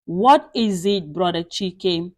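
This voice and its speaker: A woman's voice: fairly low pitch, slow, a little mellow, dry recording, clean studio sound, voice pushed down. A woman voicing a weary male pastor in a story, curt, guarded and defensive.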